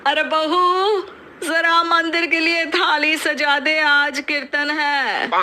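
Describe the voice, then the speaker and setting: A woman as high-voiced elderly Indian mother-in-law. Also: little pitch movement, takes it slowly, sharp tone, slight background noise, heavily acted. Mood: curt, distracted. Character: elderly Indian mother-in-law, curt yet affectionate, phone-obsessed. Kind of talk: Hindi moral cartoon story voice acting.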